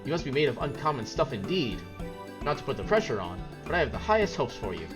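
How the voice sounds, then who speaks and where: A man's voice: mid-range voice, sing-song, pitched above the performer's own voice, close to an everyday voice. Man doing an eager, money-minded game fixer. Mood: encouraging. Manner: brisk, self-assured, chatty. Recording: game music behind the voice.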